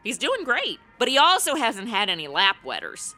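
A woman's voice: on the high side, wildly swooping pitch, moderate pace, pushed and bright, soft background music, exaggerated voice. A woman playing a brash, blunt young helper to Santa in a comedic radio play, flippant and sarcastic.

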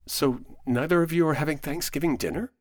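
Woman playing an earnest, low-voiced gentleman neighbor. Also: a little fast. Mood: bashful. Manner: wholesome, hesitant, old-fashioned. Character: earnest, genial, awkwardly hinting gentleman lawyer neighbor. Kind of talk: comic radio play acting.

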